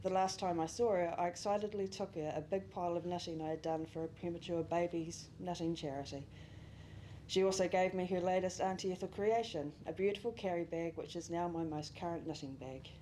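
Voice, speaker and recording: Young New woman, eulogy reader, low mellow tone, faint noise. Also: brisk, rough recording. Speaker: family friend giving a eulogy. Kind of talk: reading a memorial speech. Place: memorial service venue.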